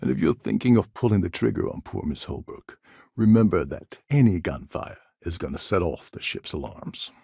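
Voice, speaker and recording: Gravelly low-voiced sardonic space pirate. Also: male voice, lively intonation, moderate pace, dark tone, no echo, clean studio sound, exaggerated voice. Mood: dry, resigned. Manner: sardonic, wry delivery. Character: cynical, scheming male space pirate pilot, sardonic schemer. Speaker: video game voice actor. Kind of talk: video game character dialogue.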